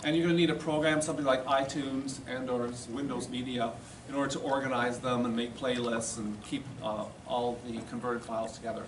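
A man's voice: mid-range voice, little pitch movement, brisk. A middle-aged man giving a plain-spoken, explanatory demonstration talk in an informal way. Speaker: presenter at a society meeting. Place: classroom or lecture room, indoors. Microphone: far camera. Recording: roomy, distant, noisy camera recording.

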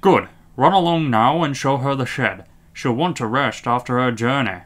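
A man playing an authoritative, courteous railway boss in a storybook, with a brisk manner. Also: mid-range voice, lightly acted. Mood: firm, courteous.

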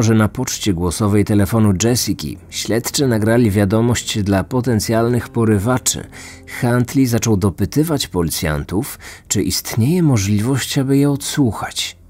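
A man's voice: lowish voice, darkish tone. A man narrating a scripted true-crime documentary as its host.

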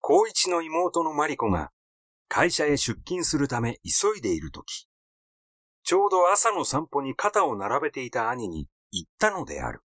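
A man's voice: fairly high pitch, huge pitch swings, normal speed, fairly bright tone, no room sound, studio quality. A man narrating a literary story from a script as an audiobook reader.

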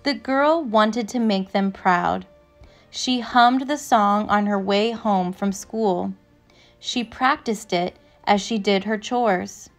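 A woman narrator reading a children's picture book aloud from a script, with measured, careful phrasing. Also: medium pitch. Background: instrumental music.

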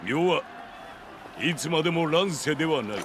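An actor playing a magnanimous, genial middle-aged samurai lord in a game, speaking in a stately, measured way. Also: male voice, a little high, animated, background noise, lightly acted. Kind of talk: Japanese samurai game cutscene acting.

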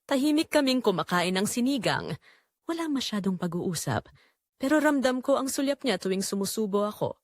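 A woman narrating an audio drama as a middle-aged mother-in-law confessing secret longing, in an intimate, measured way. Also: on the high side, expressive, no echo, studio quality, close to an everyday voice. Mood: confessional, yearning. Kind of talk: first-person dramatic storytelling.

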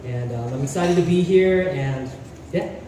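A young man giving an earnest, halting self-introduction on stage as a pageant contestant, holding a handheld mic. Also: fairly high pitch, noisy background. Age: young adult. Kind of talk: prepared self-introduction speech. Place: large indoor hall stage. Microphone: handheld mic, filmed from far. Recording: echoey hall from far off.

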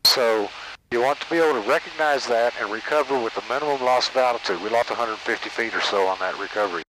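A man's voice: mid-range voice, fast talker. An older man, a flight instructor coaching a student in the cockpit in a folksy, encouraging way. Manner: encouraging, folksy, gives steady commands. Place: small airplane cockpit. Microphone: headset boom mic. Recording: engine noise behind headset audio.

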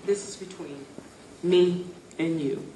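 An actress playing a contrite, submissive young wife in a live stage play. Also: female voice, fairly low pitch, slow pace, dark tone. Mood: contrite, earnest. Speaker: stage actor. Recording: echoey stage, distant, background noise.

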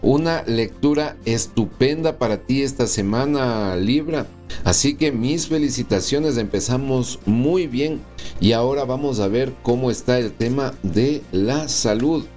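A man's voice: mid-range voice, measured pace, faint music behind. A man in his forties giving a weekly tarot horoscope, often checking in with the listener through short tag questions. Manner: warm reassuring, checks in with "¿sí?" and "¿ok?". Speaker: tarot reader. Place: small indoor room with plants and wood blinds.

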